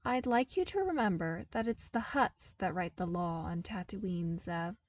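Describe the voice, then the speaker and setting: A woman voicing an earnest, wry young man from a harsh desert world in a story reading, explaining with animated emphasis. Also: on the low side, measured pace, no room sound. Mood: hard, serious.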